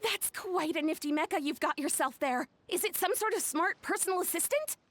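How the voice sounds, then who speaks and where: An excitable young woman in a video game. Her voice is extremely high and swings widely as she gushes politely. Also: fairly quick, no room sound. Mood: awed. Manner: effusive, breathlessly excitable, polite. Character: excitable, gushing young woman event organiser. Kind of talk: video game character acting.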